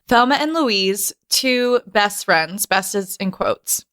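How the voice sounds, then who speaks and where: A woman's voice: mid-range voice, expressive, slow, fairly bright tone, dry recording, studio quality. A woman of about thirty co-hosting a podcast with casual, teasing comedic banter. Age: around 30. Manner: giggly, teasing. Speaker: podcast co-host.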